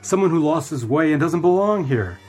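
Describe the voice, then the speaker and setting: A man's voice: fairly high pitch, expressive, a little fast, a little mellow, lightly acted. An actor playing a stern, suspicious father in a radio drama, curt and dismissive. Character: stern, suspicious father guarding his household. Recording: studio radio drama with music bed.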